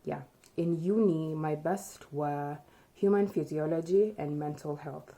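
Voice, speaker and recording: A young woman vlogger from Kenya answering tag questions in relaxed, chatty talk, often thinking aloud, recorded by a camera in front of her in a small room. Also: low voice, a little slow. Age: young adult. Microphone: camera in front at close range.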